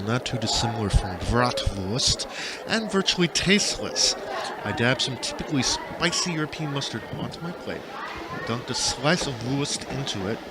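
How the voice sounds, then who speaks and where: A man reading first-person fiction aloud as an audiobook narrator, with an expressive, dramatic delivery. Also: medium pitch, background noise.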